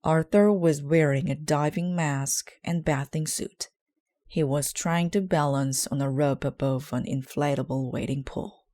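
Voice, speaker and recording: A woman narrating a children's book aloud in a measured, clearly phrased way. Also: deep voice, steady tone, warm tone. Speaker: storybook reader.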